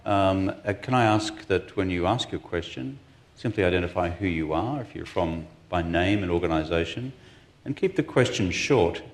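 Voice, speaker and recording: Deep voiced guy running a panel, dry jokes, easygoing. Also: lively intonation, normal speed. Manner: wry, dry humor. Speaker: moderator. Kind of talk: moderating a panel.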